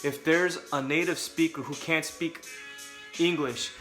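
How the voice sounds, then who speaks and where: A man's voice: a little high, steady tone, unhurried, pushed and bright, faint music behind. A young adult man from California, talking casually and candidly to camera with joking asides. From Southern California. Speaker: vlogger.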